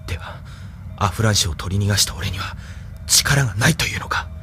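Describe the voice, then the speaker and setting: An actor playing a proud, brooding young lieutenant and mech pilot in a Japanese audio drama, speaking in clipped, intense military style. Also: male voice, on the low side, brisk, pushed and bright, a little hiss or hum. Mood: brooding, wounded pride.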